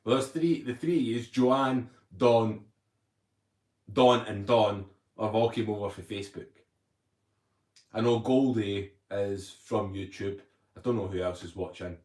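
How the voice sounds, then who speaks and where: A man talks loosely on a livestream, rambling from one thought to the next and reading out viewers' comments as he goes. His voice sits a touch low for a man. The sound carries a noticeable room echo. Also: steady tone, unhurried, a little mellow. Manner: chatty, rambling, reads viewer comments aloud. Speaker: livestream host. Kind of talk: casual livestream chat. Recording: roomy echo.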